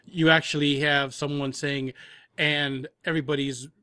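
Male panel guest, fairly high voice, dry close sound, explanatory and conversational. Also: flat delivery, normal speed, bright, forceful tone, no echo. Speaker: audio drama producer, panel guest. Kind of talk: casual discussion in an interview.

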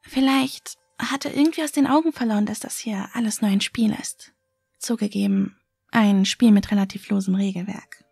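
An actress playing a wary, indignant young female survival contestant in a horror audio drama, with a breathy voice. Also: mid-range voice, moderate pace, darkish tone, no room sound, faint music behind. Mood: sober, measured.

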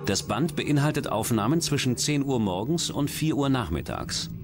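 A man's voice: mid-range voice, lively intonation, normal speed, music in the background. A man reading scripted true-crime narration as a documentary narrator.

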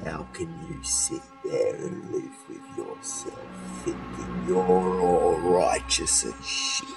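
An actor playing a troubled murder suspect with two personalities, brooding and erratic, in a modern radio play. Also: male voice, sits low, expressive, slow pace, gravelly, loud music behind the voice, full character voice. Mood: taunting, bitter.

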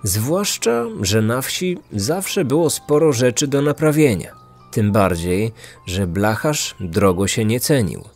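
A man's voice: lowish voice, big pitch swings, takes it slowly. A man hosting and narrating a true-crime story from a script. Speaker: podcast host, narrator. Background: background music.

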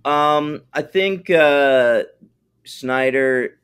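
A man's voice: a little high, extremely slow, a bit bright, smooth. A man co-hosting a podcast, casually chatting about a TV episode in a joking, blunt way. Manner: jokey and blunt, calls co-host "bro". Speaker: podcast co-host. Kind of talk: casual chat recapping a TV episode.

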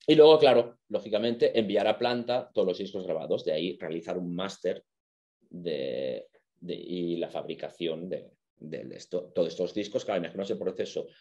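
A man with a fairly low voice and an accent from Spain gives an informal lecture. He explains things conversationally, with evident enthusiasm for his material. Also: moderate pace, dark tone, dull audio.

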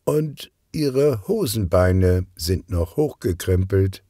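A man voicing a brisk, amused, observant female police detective in a children's audiobook, wry and teasing. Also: sits low, animated, dark tone, dry recording, studio quality, voice pushed up, heavily acted. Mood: matter-of-fact.

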